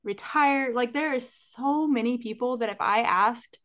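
A woman's voice: on the high side, unhurried, a bit bright. A woman co-hosting a podcast, chatting casually and enthusiastically. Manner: enthusiastic, upbeat. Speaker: podcast co-host.

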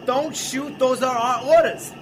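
An actor plays an American captain leading his company in a wartime radio drama. The voice is high, firm and used to giving orders. There is faint background noise behind him. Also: fairly even intonation, measured pace. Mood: commanding. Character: American army captain, firm, steady commander.

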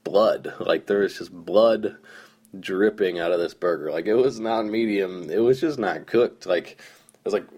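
Young male podcast host, hoarse and groggy, deep voice, rambling, self-deprecating storyteller. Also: measured pace, darkish tone. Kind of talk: casual solo storytelling.

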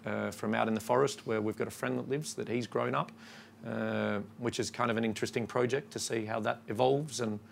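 A man with an Australian accent, a brewery co-owner giving a relaxed, halting talk to a crowd. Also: mid-range voice, vocal fry. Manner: relaxed and halting, lots of ums. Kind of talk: casual talk to a shop crowd.

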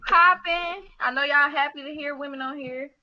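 A woman who is a guest on a podcast, chatting casually in a lively, teasing way. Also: high-pitched, normal speed, muffled recording. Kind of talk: casual chat.

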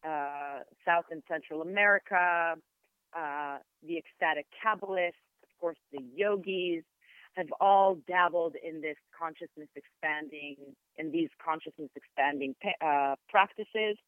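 A woman with an Israeli accent, whose first language is Hebrew, speaks as a podcast guest in a smooth, even voice. She explains things in a measured, searching way, often pausing and restarting. The sound is thin and phone-like, with a dry, close feel. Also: deep voice, no echo. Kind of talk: reflective explanatory interview talk. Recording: tinny phone-like line, dry.

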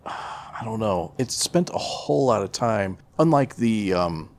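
A man's voice: on the low side, lively intonation, slow. A man co-hosting a casual film chat, feeling his way through his opinions with hesitant phrasing and some swearing. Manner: hesitant, searching for words, casually profane. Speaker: podcast co-host reviewing a film.

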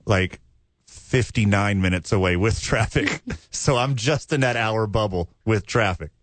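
A man's voice: lowish voice, measured pace, slight background noise. A man from Texas co-hosting a casual sports podcast, trading dry, teasing banter. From Texas, USA. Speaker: podcast co-host.

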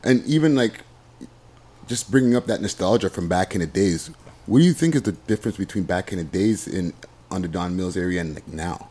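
A fairly low male voice asks questions in a loose, slangy way. He stops and restarts often and fills the gaps with "like". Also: mellow, husky. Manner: casual, slangy, halting questions full of "like". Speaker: interviewer. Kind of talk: casual interview.

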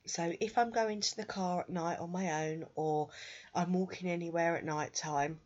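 A woman's voice: on the low side, steady tone, moderate pace, a little mellow. Nasal woman host with a cold. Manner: chatty, laughs at herself. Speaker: podcast host. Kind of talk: solo podcast talk. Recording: dull lo-fi sound.

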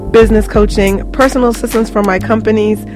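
A woman's voice: medium pitch, steady tone, quick pace. A woman giving a scripted first-person testimonial in a radio advertisement. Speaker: ad presenter. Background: music.

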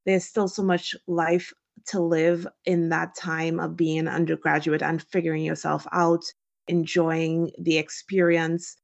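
Low, creaky woman from Trinidad and Tobago. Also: little pitch movement, unhurried. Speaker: physician guest on a podcast. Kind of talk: conversational interview answers. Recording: dull, lo-fi call audio.

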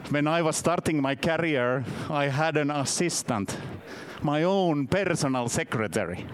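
A man from Finland in his fifties with a Finnish accent, giving a halting, self-deprecating conference talk in a second language. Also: a little high, expressive. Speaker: conference speaker. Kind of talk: conference presentation. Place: conference session room.

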